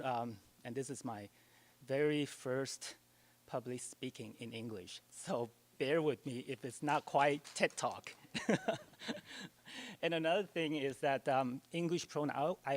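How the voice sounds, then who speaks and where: A middle-aged man from Taiwan with a Taiwanese accent, a translator giving a public talk at a library, speaking hesitantly with many ums and light self-deprecating humor. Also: medium pitch.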